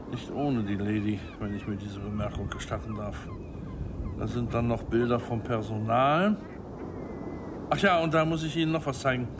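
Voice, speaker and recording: Actor as a sly snoop amid outdoor noise. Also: male voice, medium pitch, natural voice. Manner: obsequious, sly, clipped chatty remarks. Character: chatty, insinuating, deferential American private investigator. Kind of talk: radio drama dialogue. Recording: clear outdoor ambience noise, birds, vehicles.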